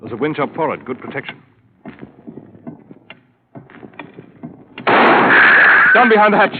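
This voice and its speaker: English Scotland Yard inspector, courteous and formal, animated pitch, noisy vintage radio sound. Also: male voice. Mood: commanding. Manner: formal, measured, polite. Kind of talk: 1950s radio drama acting. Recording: noisy, tinny old radio broadcast.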